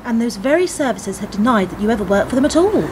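A woman's voice: on the high side, animated, quick pace, background noise, natural voice. A woman playing an earnest, inquisitive admirer with a questioning manner in a radio play. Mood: curious, playful. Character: eager, inquisitive female fan with a dull job. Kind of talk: radio drama dialogue.

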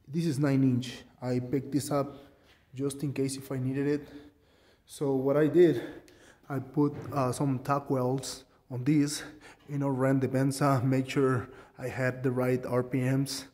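A man's voice: medium pitch, little pitch movement, a little slow, darkish tone, big-room sound. A man casually demonstrating a workshop tool modification, rambling and self-deprecating with many ums, filmed on a handheld phone in his garage. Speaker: DIY fabricator showing a tool mod. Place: home garage workshop, indoors. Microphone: handheld phone camera.

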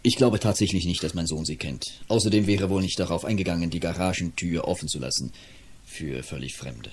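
A stern, formal father in a radio drama, played by a man. His voice is deep and low, with faint noise behind it. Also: a little fast, close to an everyday voice. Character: stern middle-aged father of a boy. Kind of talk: radio play acting.

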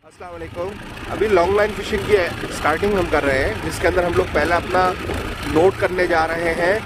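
A man's voice: high voice, fairly even intonation, brisk. A man in his thirties with a Pakistani Urdu accent, filming on his phone from a fishing boat at sea, explaining each step of the fishing. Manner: step-by-step explanatory, mixes in English terms. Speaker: fishing vlogger. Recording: loud engine noise behind.

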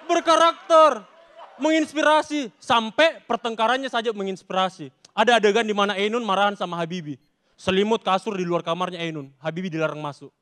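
A young man delivers stand-up on a stage with a Makassar Indonesian accent. His voice sits high for a man, and he tells his stories with emphatic, theatrical punch. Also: brisk, fairly bright tone. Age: young adult. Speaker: stand-up comedy contestant. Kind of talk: stand-up comedy performance. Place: large TV studio stage with audience. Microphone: handheld microphone.

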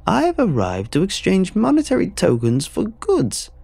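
A man voicing a pompous, shrieking egg-shaped creature who makes booming, formal demands. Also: wildly swooping pitch, normal speed, gravelly, a little mellow, dry recording, full character voice. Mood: booming demanding.

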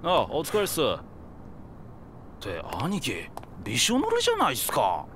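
A man playing a slow-witted, eager young yakuza underling in a game cutscene, deferential and naive, in casual junior slang. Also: a little high, wildly swooping pitch, noisy background. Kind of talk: video game cutscene acting.

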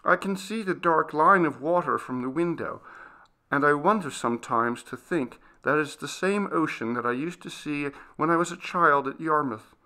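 A man's voice: fairly high pitch, moderate pace, bright, forceful tone, pitched above the performer's own voice. A man voicing a frightened, earnest young servant girl in a storybook, faltering, meek and deferential. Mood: anxious, confiding.

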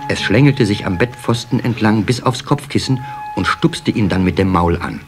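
A man narrating a children's story in a measured storyteller manner. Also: on the low side, music in the background.

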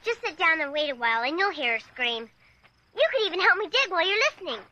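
A woman's voice: very high-pitched, sing-song, fast, pushed and bright, lo-fi sound, full character voice. A woman playing an earnest, stubborn young American girl in an audio drama, insistent and pleading. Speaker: actor and narrator in an audio drama. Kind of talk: dramatic radio-play acting.

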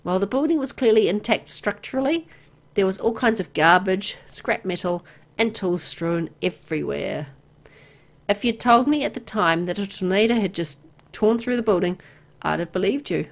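A woman's voice: medium pitch, expressive, normal speed. A woman reading a first-person story aloud in a dramatic narrating style. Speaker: visual novel reader.

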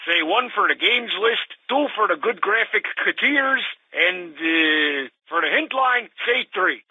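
A man's voice: high-pitched, slightly rough, no room sound, full character voice. A man voicing a cheesy, irritable small-time video game company boss faking a voicemail recording, in a hammy comedic cartoon style through a telephone filter. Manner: salesy, hammy, easily exasperated. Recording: tinny narrow phone-like line.

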